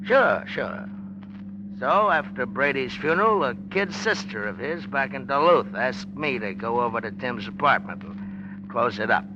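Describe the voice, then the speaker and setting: A man's voice: medium pitch, lively intonation, measured pace, lightly acted. Actor as cocky hard-boiled newspaperman, noisy vintage radio. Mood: insinuating. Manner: slangy, smug, hard-boiled. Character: cocky, needling newspaperman, smug tough-talking man. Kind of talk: 1940s radio acting. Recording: dull noisy old radio recording.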